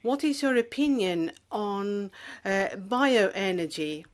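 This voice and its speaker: A woman voices an interpretation of formal questions at a hearing. Her pace is slow and her delivery measured, with a few fillers and restarts as she keeps up with the speaker. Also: mid-range voice, expressive.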